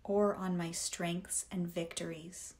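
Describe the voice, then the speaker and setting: A woman reading scripted guided meditation affirmations in a deliberate, measured way, in short phrases with pauses. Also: fairly low pitch, flat delivery, darkish tone.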